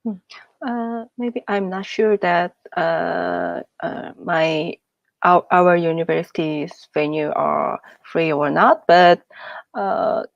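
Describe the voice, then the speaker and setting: Slow, gravelly woman on a lo-fi video call. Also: lowish voice, no room sound. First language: not English. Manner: halting, searching for words. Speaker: artist. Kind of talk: online meeting discussion. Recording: dull lo-fi call audio.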